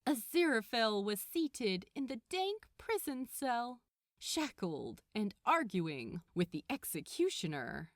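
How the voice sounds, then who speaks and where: A woman narrating a story from a script in an expressive audiobook style, recorded close and dry. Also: on the high side, slow pace, dry recording. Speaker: audiobook narrator of fan fiction.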